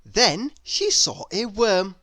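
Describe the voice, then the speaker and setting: A woman's voice: mid-range voice, sing-song, takes it slowly, fairly bright tone, dry recording, studio quality. A woman narrating a children's story read-aloud expressively, addressing young listeners with playful questions.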